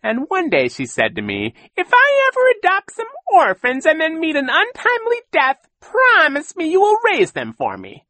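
A man's voice: very high-pitched, huge pitch swings, normal speed, few pauses, pushed and bright, no echo, voice pushed up, full character voice. A man voicing a scheming villainous sea captain who gushes with false sentiment, in an audiobook story. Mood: mock mournful. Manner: theatrical, gushing insincerity.

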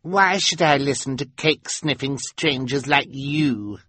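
A man's voice: medium pitch, unhurried, bright, forceful tone, no room sound, pitched above the performer's own voice. A male narrator voicing a rude, bossy young girl who insults strangers. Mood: snotty. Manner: snotty, insulting.

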